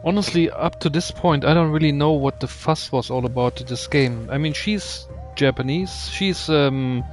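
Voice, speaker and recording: A man's voice: a little high, moderate pace, soft background music. A man giving casual gameplay commentary, muttering wryly and thinking aloud as he plays. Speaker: gaming video host.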